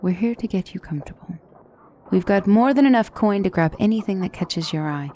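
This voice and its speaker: A woman playing a poised, formal demonic tutor in a fantasy ASMR roleplay, speaking as a wise and gently reassuring mentor with measured, courtly phrasing. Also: medium pitch, animated, slight background noise, close to an everyday voice. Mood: warm, inviting. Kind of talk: ASMR fantasy roleplay, scripted character acting.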